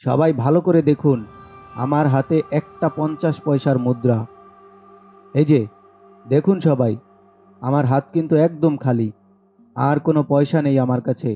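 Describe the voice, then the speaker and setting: A man voicing a village street magician in an animated horror story, proclaiming showily to onlookers. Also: on the high side, measured pace, long pauses, soft background music. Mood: showy, confident. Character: village street magician, showman addressing a crowd.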